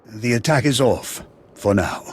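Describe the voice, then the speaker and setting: An actor playing a pragmatic, principled military officer in a video game, measured and earnest. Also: male voice, medium pitch, animated, breathy edge. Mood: earnest, businesslike.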